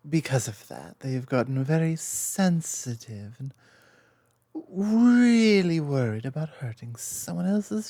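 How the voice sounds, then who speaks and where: A woman playing an affectionate, teasing lamia girlfriend in an intimate ASMR roleplay, speaking softly and confidently with playful asides. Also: deep voice, dry recording, exaggerated voice. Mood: thoughtful, earnest.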